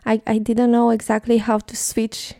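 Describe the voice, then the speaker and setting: A woman's voice: fairly high pitch, monotone, normal speed, mellow, no echo, clean studio sound. A woman with a Romanian accent, a photographic artist being interviewed on radio, speaking hesitantly with many ums. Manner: hesitant, many ums, laughs between phrases. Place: radio studio.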